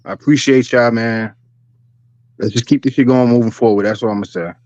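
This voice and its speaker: A man's voice: mid-range voice, fairly even intonation, a little fast, darkish tone, dry recording, dull audio. A man returning as a guest on a wrestling talk show over a remote call. He speaks in a heartfelt, slangy way. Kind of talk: casual chat.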